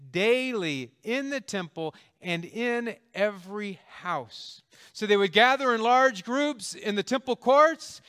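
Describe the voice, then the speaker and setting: A man's voice: sits high, expressive, slow, pushed and bright, smooth. A man in his fifties, a pastor delivering a conversational sermon with jokes and emphatic stresses. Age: 50s.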